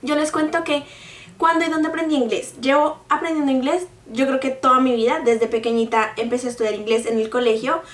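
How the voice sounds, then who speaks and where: A woman's voice: high-pitched, steady tone, fairly quick, distant mic. Earnest woman, echoey room. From Colombia. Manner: earnest, explanatory, direct address to viewers. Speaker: vlogger. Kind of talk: casual talk to camera. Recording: roomy, mic set back from speaker.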